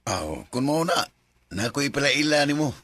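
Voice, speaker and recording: A man's voice: medium pitch, big pitch swings, moderate pace, pushed and bright, dry recording, full character voice. A man with a Cebuano Filipino accent playing a blunt young buddy who eggs his friend on in a radio drama. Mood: prodding. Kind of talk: Bisaya radio drama acting.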